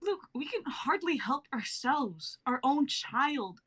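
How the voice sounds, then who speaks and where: Bitter betrayed wife read by a woman, lively up and down delivery. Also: high-pitched, slow pace, voice pushed up, full character voice. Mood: bitter, accusing. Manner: accusing, bitter. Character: hurt, betrayed young wife confronting husband. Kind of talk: live-streamed script reading.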